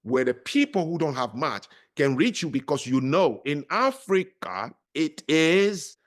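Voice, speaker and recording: A middle-aged man talks as a podcast guest. His delivery is lively and swings widely in pitch. He leans on words for emphasis, the way a storyteller does. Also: a little slow. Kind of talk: conversational interview.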